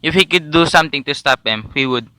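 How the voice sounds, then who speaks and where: A man's voice: a little high, animated, fast, bright, forceful tone, clear, resonant tone, no room sound, rough recording. A young man playing an unimpressed, patronizing police desk officer in a suspense radio drama, placating and dismissive.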